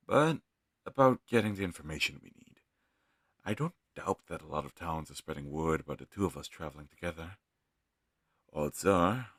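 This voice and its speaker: Guy acting as earnest young healer in a fantasy audio roleplay, deep n a bit husky, soft hearted, sincere, very dry sound. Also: dry recording, exaggerated voice. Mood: resolute. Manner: gentle, hesitant, sincere. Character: earnest, kind young male fantasy healer, self-doubting.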